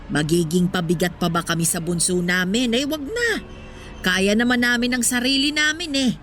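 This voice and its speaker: A woman's voice: on the high side, lively intonation, moderate pace, fairly bright tone, slight background noise. Woman acting a feisty elderly Filipino wife. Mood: proud. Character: feisty, talkative 60-year-old Filipino street vendor wife. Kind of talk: radio drama acting.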